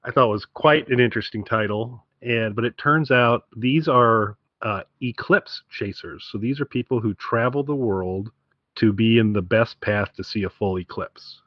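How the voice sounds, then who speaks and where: A man with a fairly low voice chats as a podcast co-host. His delivery is conversational and wry. The recording is very dry and somewhat dull, lacking crispness. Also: moderate pace, dry recording. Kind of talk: casual podcast chat.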